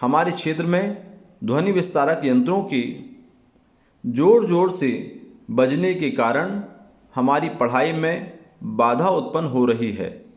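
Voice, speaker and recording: A man teaching an exam lesson in a deliberate, explanatory way, repeating key phrases as he goes. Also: a little high, animated. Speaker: Hindi teacher. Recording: echoey, reverberant room.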